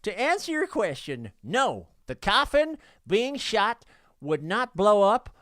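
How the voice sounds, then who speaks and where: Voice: medium pitch, very animated, takes it slowly, fairly bright tone, no room sound, studio quality. A podcast host reading listener mail aloud in a jokey, sweary way, often stumbling over the text.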